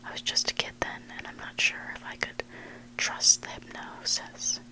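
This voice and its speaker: Hushed whispering ASMR storyteller, sex indistinct. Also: rough recording. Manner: hushed, deliberate, pausing between short phrases. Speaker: ASMR host reading submitted online stories.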